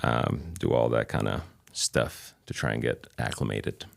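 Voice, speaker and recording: A man in his thirties, a native Albanian speaker from Kosovo with a light New England accent, talking as a guest in a measured, reflective way with frequent pauses. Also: very deep voice, creaky. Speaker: guest chiropractor. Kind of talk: casual interview chat.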